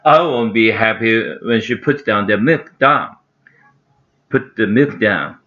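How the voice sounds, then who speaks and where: A man voicing a grumpy house cat who mutters slowly and glumly. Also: fairly low pitch. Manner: muttering, slow and glum. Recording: dull lo-fi recording.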